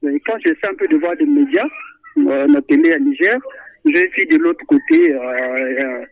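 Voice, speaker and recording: A man's voice: very high-pitched, moderate pace, creaky. A man calling in to a radio show, chatting animatedly about football with many hesitations and repetitions. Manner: animated, repeats himself, frequent hesitations. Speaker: radio call-in listener. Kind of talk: phone-in football chat. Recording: tinny phone line.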